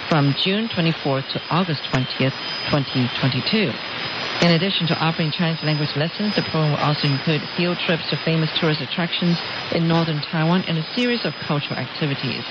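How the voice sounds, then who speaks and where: Loud static hiss, dull shortwave radio, woman news presenter, mid-pitched, scripted delivery. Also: a little fast. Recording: hissy, noisy, dull shortwave radio reception.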